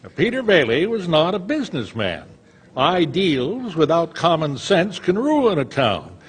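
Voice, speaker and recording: An actor in a live radio drama playing a frustrated, greedy old banker who talks in a curt, dismissive way. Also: male voice, on the high side, huge pitch swings, unhurried. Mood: scornful. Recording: theater stage with long reverb.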